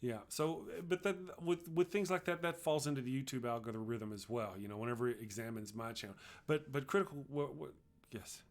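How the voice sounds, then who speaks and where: A man's voice: medium pitch, a little fast. A middle-aged man with a Texas accent, hosting a casual livestream and chatting loosely with viewers, rambling with frequent restarts. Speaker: livestream host. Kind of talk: casual livestream chat, reading viewer comments.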